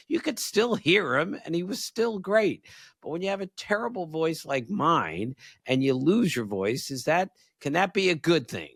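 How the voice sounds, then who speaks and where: Older male podcast co-host, hoarse from a cold, raspy twang, dry close mic. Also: medium pitch, dry recording. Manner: playful, self-mocking. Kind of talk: casual chat.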